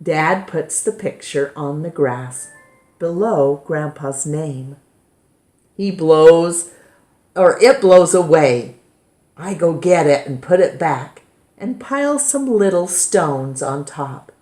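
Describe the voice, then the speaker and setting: A woman reading a children's picture book aloud in a measured, gently expressive way. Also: low voice. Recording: roomy, echoing indoor sound.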